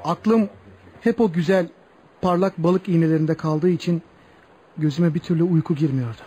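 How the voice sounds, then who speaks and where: A man's voice: on the high side, normal speed, warm tone, natural voice, rough recording. An actor playing a young accused tribesman, sincere, sorrowful and pleading, in a Turkish radio play. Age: young adult. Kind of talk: Turkish radio theatre acting.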